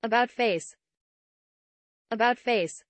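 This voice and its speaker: A woman reading short English sentences slowly and clearly for learners, repeating each one evenly. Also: fairly high pitch, dry recording.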